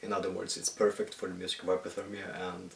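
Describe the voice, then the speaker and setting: A Swedish man with a deep, rough voice muses aloud in English, stopping often to find his words. The mic sits a short way off, so the room echoes around him. A faint hiss runs underneath. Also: flat delivery, far from the mic. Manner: halting, thoughtful, many pauses. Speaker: musician. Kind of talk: reflective solo monologue. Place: at home in the countryside.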